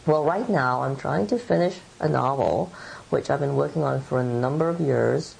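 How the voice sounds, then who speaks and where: Low, gravelly middle-aged woman. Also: moderate pace, a little mellow, slight background noise, low-quality recording. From Hong Kong. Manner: thoughtful, meandering, many fillers. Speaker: writer and editor. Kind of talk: interview answers.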